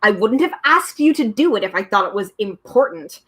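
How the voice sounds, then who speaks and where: A woman voicing a sly male demon of petty tasks, talking slowly in a sour, menacing, coaxing way. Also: medium pitch, animated, fairly bright tone. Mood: snarling.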